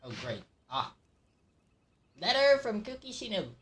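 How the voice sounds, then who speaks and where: A woman with a Filipino accent doing casual livestream game commentary, giggly and quick to exclaim and react. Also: mid-range voice, big pitch swings, a bit bright, a little hiss or hum. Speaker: game streamer. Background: game music.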